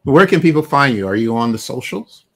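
A man's voice: mid-range voice, expressive, fairly quick. A middle-aged man from Barbados hosting a podcast over a video call, chatting in an easygoing way with lots of "you know" fillers. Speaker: podcast host. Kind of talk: casual interview chat. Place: home room with shelves of figures.

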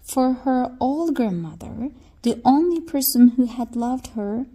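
A woman narrates a fairy tale for children in a fairly high, mellow voice. Her reading is slow and scripted, as a storyteller's.

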